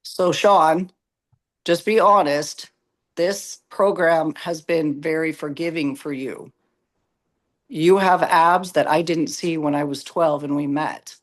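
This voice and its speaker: A co-host with a raspy voice chiming in candidly during a coaching webinar. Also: mid-range voice, steady tone, takes it slowly. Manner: candid, teasing asides. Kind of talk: casual chat in a webinar.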